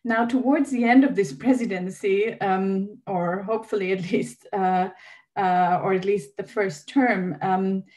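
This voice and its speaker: A woman's voice: mid-range voice, steady tone, unhurried, darkish tone. A woman from Austria interviewing a guest, speaking hesitantly with many fillers. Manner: hesitant, many ums and uhs. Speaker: interviewer, host. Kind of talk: conversational interview.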